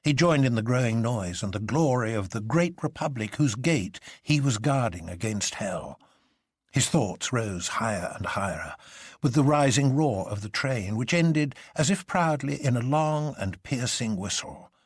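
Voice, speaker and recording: Male audiobook narrator: theatrical classic-fiction read, husky timbre, highly varied intonation, dry recording. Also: measured pace, no echo. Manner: theatrical, expressive.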